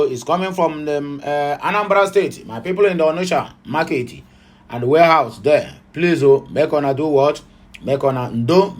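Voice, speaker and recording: A middle-aged Nigerian man with an Igbo accent runs through the morning headlines in a loose, talky way. His voice sits slightly high for a man. He pauses on frequent fillers and slips into Pidgin and Igbo asides. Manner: chatty, hesitant with many "uh" fillers, switching into Pidgin and Igbo asides. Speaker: online news host. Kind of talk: informal news headline commentary.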